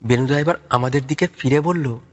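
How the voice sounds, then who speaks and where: A man's voice: mid-range voice, brisk. A man narrating a scripted audio story as its storyteller. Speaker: story narrator, speaking as the detective's companion.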